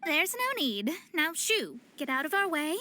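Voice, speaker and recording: A woman's voice: sits high, sing-song, measured pace, sharp tone, a little hiss or hum, exaggerated voice. An actress playing a proud young female astrologist in a video game, speaking in lofty, formal, theatrical phrases. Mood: stern, challenging. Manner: formal, archaic, lofty diction. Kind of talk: anime-style video game voice acting.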